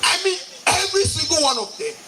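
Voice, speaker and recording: A man plays a brash, mulish Nigerian councillor who taunts in big exclamations. The pitch is extremely high and very animated, in broad stage-play style. Loud music competes with the voice in the live recording. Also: fairly quick. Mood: hesitant, sly. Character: brash, mocking, stubborn male member of king's council. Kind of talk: theatrical stage play comedy acting.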